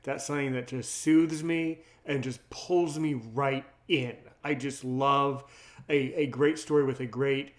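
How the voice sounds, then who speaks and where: A man's voice: medium pitch, fairly even intonation, unhurried. A man hosting a casual interview, effusive and wordy, circling around his questions. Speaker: host, interviewer.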